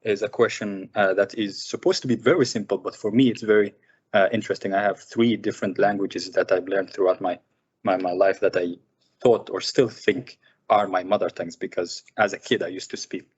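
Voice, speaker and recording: A man from Sudan with a lowish voice talks about his work as a filmmaker at a seminar. He thinks aloud, filling pauses with "uh" and repeating words as he goes. The recording sounds muffled and lo-fi. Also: fairly even intonation, husky. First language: Arabic. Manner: thoughtful, many fillers and repeated words. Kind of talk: reflective personal talk.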